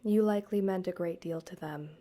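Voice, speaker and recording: A woman guiding a meditation, speaking to the listener in a soothing, measured way with deliberate pauses. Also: on the low side, dark tone. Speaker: guided meditation host, counselor and yoga teacher. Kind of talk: gentle guided meditation narration, addressed to the listener.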